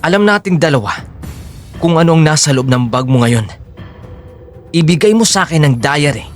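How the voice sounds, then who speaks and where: Man acting as controlling boyfriend, lightly high voice. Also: animated, normal speed, faint music behind, close to an everyday voice. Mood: menacing. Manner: coaxing, mixes Tagalog with English phrases. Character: controlling, two-faced boyfriend feigning sweet concern. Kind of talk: Filipino audio drama acting.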